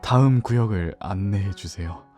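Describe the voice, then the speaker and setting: A man acting in an audio drama as a hesitant, introspective newcomer, narrating in a soft, reflective way. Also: fairly low pitch, normal speed, faint music behind, lightly acted.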